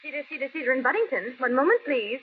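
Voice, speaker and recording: An actress playing a brisk, chirpy switchboard receptionist in an old-time radio drama, sing-song and businesslike. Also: high voice, lively intonation. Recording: tinny, noisy vintage radio broadcast.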